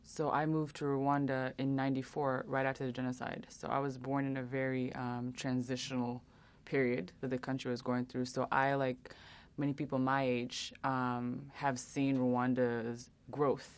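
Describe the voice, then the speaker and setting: Very low-voiced young woman, earnest. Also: flat delivery, normal speed. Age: young adult. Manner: earnest, many fillers and restarts. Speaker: interview guest. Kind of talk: casual interview answers.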